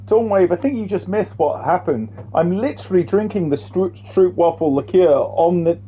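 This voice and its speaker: A man's voice: high-pitched, animated, normal speed, warm tone, slightly rough, rough recording. A man chatting and rambling casually while live-streaming a video game, with the odd swear word. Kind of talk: casual live-stream chat over gameplay.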